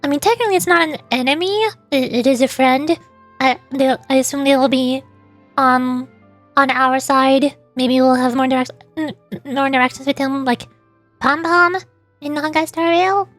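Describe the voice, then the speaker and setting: Female game streamer, very high voice, casual rambling delivery, close dry mic with music underneath. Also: normal speed, a bit bright, dry recording. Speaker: streamer and VTuber. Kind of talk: casual livestream chat, reacting and rambling about games.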